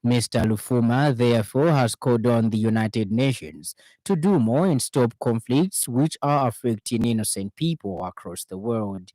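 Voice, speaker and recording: A male news reader with a Zambian accent delivers a formal radio bulletin. His voice sits at a medium pitch, and he works through the script in measured phrases. The sound is dry, with very little room echo. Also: lively intonation, warm tone.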